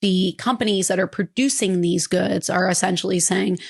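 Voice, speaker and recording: A woman in her forties from California, co-hosting a podcast while hoarse from a cold, mixing casual joking talk and occasional swearing with a scripted explanation. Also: lowish voice, fairly even intonation, normal speed. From California, United States. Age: 40s. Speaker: podcast co-host.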